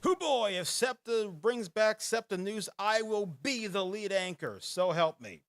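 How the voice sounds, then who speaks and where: A man hosting a casual live podcast, chatting and reading quiz questions in a rambling way and laughing often. He has a booming radio-style voice. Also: lively intonation, a little slow, a bit bright, soft background music. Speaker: podcast host.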